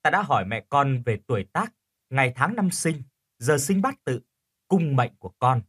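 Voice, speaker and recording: A man voicing a kindly, dignified sixty-year-old village healer in an audio story, with an unhurried, fatherly manner. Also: mid-range voice, sing-song, a bit bright, husky. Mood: calm, knowing.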